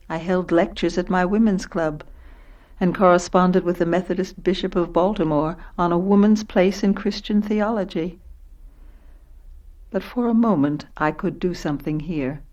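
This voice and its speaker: An actress playing a composed, educated woman in a radio play, speaking in a measured, reflective way. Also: lowish voice, steady tone, a little mellow, dull audio, lightly acted. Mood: reflective, wistful. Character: composed, educated woman narrating, inhabiting a poor daughter. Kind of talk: radio play narration and dialogue.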